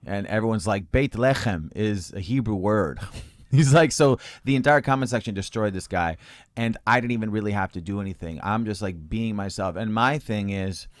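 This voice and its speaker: A man telling stories as an interview guest, calm and even-toned, with many fillers and frequent laughs. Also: on the low side, moderate pace. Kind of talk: relaxed conversational storytelling.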